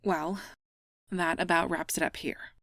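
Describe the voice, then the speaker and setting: A woman's voice: lowish voice, fairly even intonation, unhurried, fairly bright tone, no room sound. A woman playing the stern, exacting boss of a ghost-hunting team in an audio roleplay, dry and authoritative. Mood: stern, composed. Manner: authoritative, dry, clipped orders.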